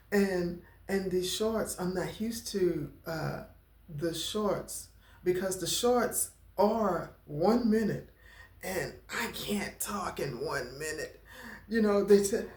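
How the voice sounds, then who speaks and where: A woman vlogger who talks slowly and haltingly, with a stutter and many fillers, and gets emotional at times. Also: medium pitch, darkish tone, echoey room. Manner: emotional, near tears at times. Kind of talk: personal talking-head vlog.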